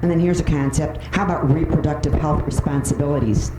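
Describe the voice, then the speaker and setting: A woman's voice: sits low, fairly even intonation, fast. Roomy, distant recording of an opinionated woman speaking. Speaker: public commenter at a county board meeting. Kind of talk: prepared public speech. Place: indoor public meeting room. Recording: roomy, noisy, distant microphone with clicks.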